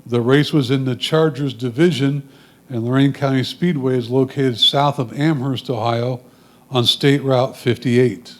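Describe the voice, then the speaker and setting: A man's voice: medium pitch, steady tone, measured pace. An American man gives matter-of-fact, semi-scripted commentary on his photographs, explaining them as he goes. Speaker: club photographer presenting own photos.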